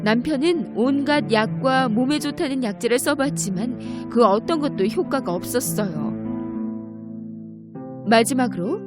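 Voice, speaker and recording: Higher-voiced woman narrating a fairy tale. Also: expressive, a little slow, music in the background. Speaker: storyteller.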